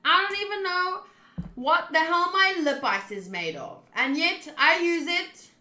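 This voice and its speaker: A woman streaming a visual novel, mixing expressive, sweary commentary with reading the text aloud. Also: extremely high pitch, a little slow. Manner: expressive, sweary, reacts out loud. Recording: roomy echo.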